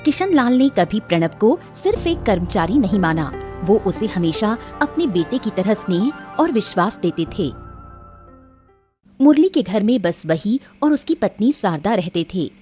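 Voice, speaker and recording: Animated woman story narrator. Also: mid-range voice, measured pace, soft background music. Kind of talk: scripted narration of a moral cartoon story.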